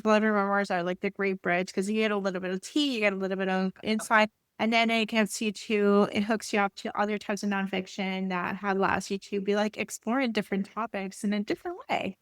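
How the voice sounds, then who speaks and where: A woman hosts a podcast with upbeat enthusiasm. Her delivery is casual and conversational, at an average pitch for a woman. The recording is dry, without room echo. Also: fairly bright tone, smooth. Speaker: podcast host. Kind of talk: casual book podcast hosting.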